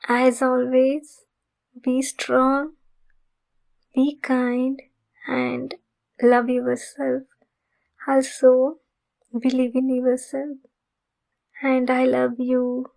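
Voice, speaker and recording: A woman's voice: sits high, completely flat delivery, drawn-out words, creaky, long pauses, darkish tone. A woman hosting an ASMR channel, addressing her listeners directly in a sign-off style.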